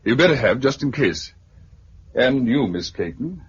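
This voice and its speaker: A man with a British accent playing a brisk, affable organiser of survivors in a 1950s radio drama. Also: mid-range voice, big pitch swings, slightly rough, heavily acted. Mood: brisk, organising. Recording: muffled, hissy 1950s radio recording.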